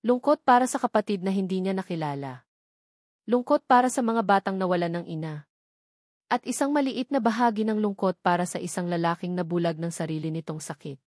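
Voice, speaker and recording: A woman's voice: mid-range voice, expressive, fairly quick, a little mellow, dry recording, studio quality. A woman with a Filipino accent reading a scripted romance story as a dramatic narrator.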